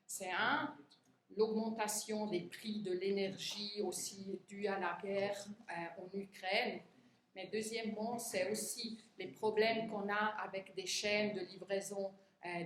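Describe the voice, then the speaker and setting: A woman's voice: mid-range voice, steady tone, takes it slowly, a bit bright. A middle-aged woman from Switzerland giving a talk in French, which is not her first language, hesitating often with fillers and restarts. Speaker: conference speaker. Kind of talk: lecture to union retirees. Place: conference hall. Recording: echoey hall, faint room noise.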